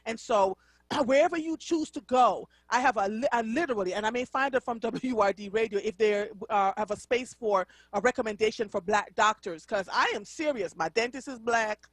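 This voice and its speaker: A woman's voice: on the high side, moderate pace, no room sound. Effusive Jamaican woman radio host. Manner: effusive and exclamatory, laughs often. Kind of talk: live radio talk show interview.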